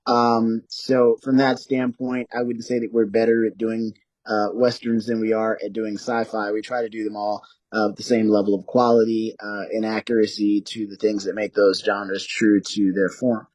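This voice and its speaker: A man speaking as an interview guest, explaining things casually in halting, hesitant phrases. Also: fairly low pitch, flat delivery, a little mellow, no room sound, low-quality recording. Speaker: interview guest, audio drama producer.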